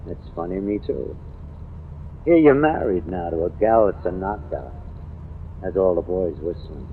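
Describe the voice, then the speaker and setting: Low, cocky American newspaperman, tinny. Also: animated, measured pace. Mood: wry, familiar. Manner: knowing, insistent, slangy. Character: cocky, hard-drinking newspaperman theorizing about a killer. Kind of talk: old-time radio drama acting. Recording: tinny, noisy vintage radio recording.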